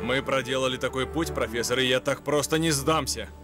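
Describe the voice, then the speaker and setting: A man voicing a resolute, gentlemanly lion explorer in a dubbed cartoon, courteous and determined. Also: medium pitch, a little fast, music in the background, lightly acted.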